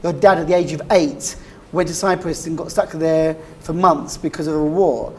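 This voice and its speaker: A woman's voice: low-pitched, fairly even intonation, moderate pace, darkish tone. A woman from the UK hosting a TV interview, chatty and leading her guests with lots of "I mean". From United Kingdom. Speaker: TV talk show host. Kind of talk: broadcast interview.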